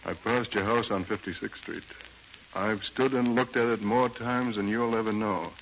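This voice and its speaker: A man's voice: fairly low pitch, fairly even intonation, a bit bright. An actor playing an ailing, despairing older man pleading melodramatically in a 1930s radio drama. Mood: wistful, desperate. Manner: melodramatic, halting, imploring. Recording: muffled, noisy 1930s radio recording.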